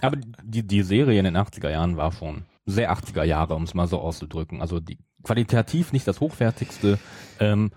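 A man's voice: sits low, lively intonation, a little fast, mellow, husky, no room sound, studio quality. A man, chatting casually as a podcast co-host. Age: 40s. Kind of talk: casual chat about audio dramas.

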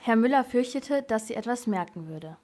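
A woman's voice: a little high, lively intonation, normal speed, darkish tone, faint music behind. A young girl reading scripted narration for an amateur radio crime play. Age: child or teenager.

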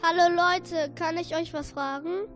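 A woman plays a little male earworm in a children's radio story, with a high, childlike voice. He is whiny and excitable, speaking in slow, plaintive exclamations over faint music. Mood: curious. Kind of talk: children's audio story character.

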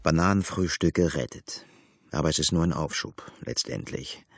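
A man with a gravelly voice narrating a literary audio drama. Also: very deep voice, moderate pace, dry recording, exaggerated voice.

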